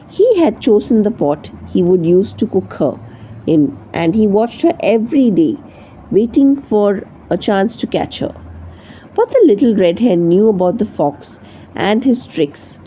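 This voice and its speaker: A woman reading a children's story aloud as narrator, in an expressive storytelling manner. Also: medium pitch, a little hiss or hum, poor audio. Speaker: storybook reader.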